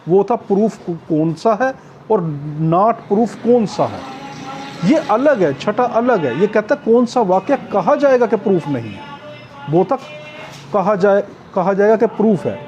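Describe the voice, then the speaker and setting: High-pitched Pakistani male lecturer. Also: expressive, warm tone, faint music behind. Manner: repetitive step-by-step explaining with frequent check-ins; switches into slow English when reading out clauses. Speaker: law lecturer. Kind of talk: explanatory exam-prep lecture, reading clauses and glossing them.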